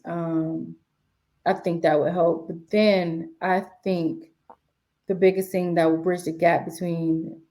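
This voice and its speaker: A woman's voice: on the low side, mellow. A woman in her twenties from the DC area, chatting casually as an interview guest with many fillers and restarts. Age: 20s. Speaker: guest, sports media writer.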